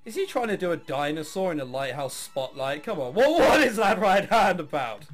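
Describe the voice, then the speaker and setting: A man reading visual novel text aloud with casual commentary, in an expressive, dramatic way with wry asides. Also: fairly high pitch, moderate pace, music in the background.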